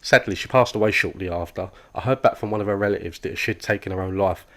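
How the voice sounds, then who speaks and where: A man plays a cartoon office chatterbox. His voice sits fairly low and races along, cheerfully oblivious as he rambles and overshares with whoever is near. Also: fast talker, fairly bright tone. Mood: earnest rambling. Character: oblivious, relentlessly chatty male office worker. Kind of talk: comic animated dialogue.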